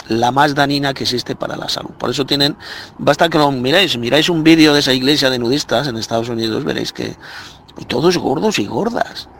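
A man's voice: mid-range voice, fairly bright tone, slight background noise. Scornful male preacher.

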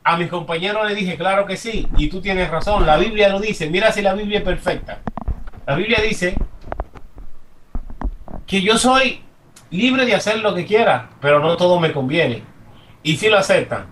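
A man's voice: high voice, expressive, normal speed, long pauses, a bit bright, slight background noise. A man with a Dominican accent giving an impromptu religious testimony on a group call, emphatic and repetitive, often restarting his sentences. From Dominican Republic. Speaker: congregant giving comments. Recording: distant from the microphone.

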